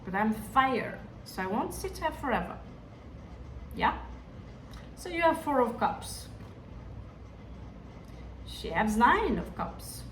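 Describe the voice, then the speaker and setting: Slow echoey tarot-reading woman. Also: a little high, big pitch swings. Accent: Hindi-influenced. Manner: musing, hesitant, talks to the viewer directly. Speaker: tarot reader. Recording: echoey room, distant mic, background noise.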